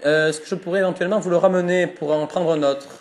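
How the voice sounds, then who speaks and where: A man with a French accent making a prank phone call, mock-formal and deadpan, fighting back laughter. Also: on the high side, fast, a little hiss or hum. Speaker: prank caller.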